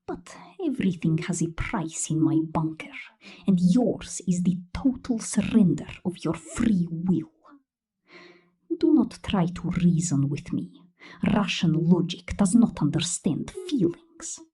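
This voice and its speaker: Woman as a dark, breathy, possessive robot drone. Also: on the low side, very animated, exaggerated voice. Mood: cold, possessive. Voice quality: breathy, whispery. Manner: slow, intimate, menacing. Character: possessive, menacing female robot drone with cold tenderness. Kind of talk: ASMR roleplay monologue.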